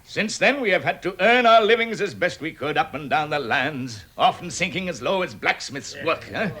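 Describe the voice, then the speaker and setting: An actor playing a proud, grave dwarf leader, grand and declamatory in a vintage radio drama. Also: male voice, on the high side, expressive, normal speed, a bit bright, slightly rough. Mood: grave, dramatic. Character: proud, grave dwarf leader telling his lost history. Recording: vintage 1960s radio recording.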